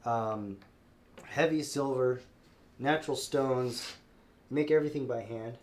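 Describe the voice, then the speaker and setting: A man's voice: mid-range voice, slow. Stuffed-up man hosting a live sale. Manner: friendly, rambling, many fillers and restarts. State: stuffed up with a cold. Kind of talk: casual live-stream chat.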